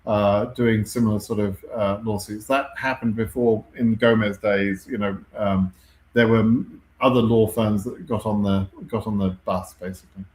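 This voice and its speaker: A man's voice: on the low side, fairly even intonation, moderate pace, darkish tone, echoey room, poor audio. A man with a British accent hosting a live question-and-answer stream, talking conversationally with frequent ums and self-corrections. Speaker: host answering viewer questions.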